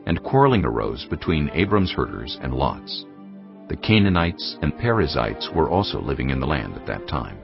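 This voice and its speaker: A man narrating scripture in a measured, grave storytelling manner. The audio is dull and lo-fi. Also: very deep voice, big pitch swings, vocal fry. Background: music.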